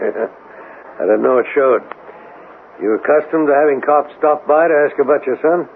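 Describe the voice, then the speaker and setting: Teasing police detective, gravelly, dry, authoritative, 1970s radio play, thin noisy old broadcast. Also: male voice, a little high, moderate pace, narrow, tinny audio. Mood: wry, probing. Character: wry, needling male police detective. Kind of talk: 1970s radio drama acting.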